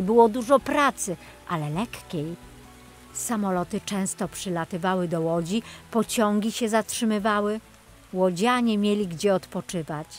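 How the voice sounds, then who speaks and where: Expressive woman narrating a children's story over music. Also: medium pitch. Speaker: audiobook narrator. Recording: music behind the voice.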